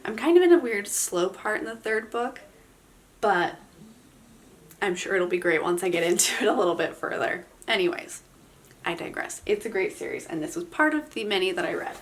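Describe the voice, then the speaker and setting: A woman's voice: mid-range voice, expressive, moderate pace. A woman giving a casual, chatty book review, enthusiastic and informal, sounding nasal from a head cold.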